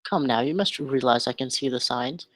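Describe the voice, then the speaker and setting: A man acting an educated, melancholy doctor in a script table read, speaking in a formal, measured, articulate way. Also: medium pitch, a bit bright, no echo, natural voice. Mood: knowing, measured. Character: educated melancholy male doctor, dreamy captive scientist.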